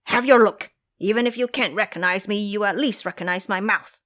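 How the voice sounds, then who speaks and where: A woman's voice: medium pitch, a little fast, fairly bright tone, natural voice. A woman voicing a foolish, pouty male pig monster in an audio drama, sulky and pleading. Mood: eager.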